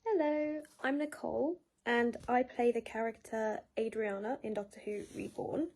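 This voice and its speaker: A woman's voice: fairly high pitch, steady tone, normal speed, darkish tone, a little hiss or hum. A woman talking casually in an interview as an amateur cast member.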